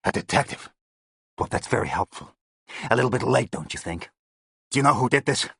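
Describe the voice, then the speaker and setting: A man's voice: mid-range voice, animated, brisk, sharp tone, slightly rough, no echo, clean studio sound, pitched above the performer's own voice, exaggerated voice. A man voicing a menacing, sneering American gangster with a New York accent in an audiobook. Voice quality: sneering, contemptuous.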